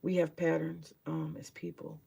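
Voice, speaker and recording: A woman with a deep morning voice chatting casually about her books, rambling, swearing freely and muttering to herself as she searches her shelves. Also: completely flat delivery, moderate pace, creaky, mellow, breathy edge. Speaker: vlogger sharing her book collection. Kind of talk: casual home vlog chat.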